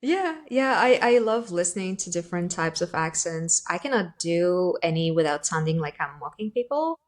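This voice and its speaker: A woman's voice: lowish voice, very animated, moderate pace. A woman podcast host with a slight Russian accent, asking curious, hesitant questions in a casual interview.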